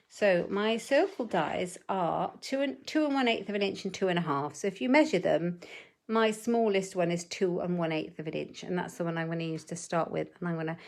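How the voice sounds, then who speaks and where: A woman demonstrating card-making in a chatty, step-by-step way, her voice a little croaky. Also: fairly low pitch. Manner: chatty, measured step-by-step instructions. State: slightly croaky voice. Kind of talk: live crafting tutorial.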